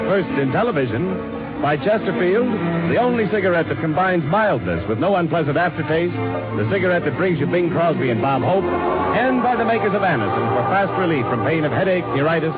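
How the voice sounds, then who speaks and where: A man announcing a 1950s radio show in a grand, proclaiming manner. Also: sits high. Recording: tinny old radio broadcast. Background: loud orchestral music.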